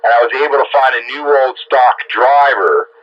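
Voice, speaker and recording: A man's voice: mid-range voice, normal speed. A man having a casual chat as a radio operator, heard over a muffled two-way radio transmission. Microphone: radio set microphone heard over air.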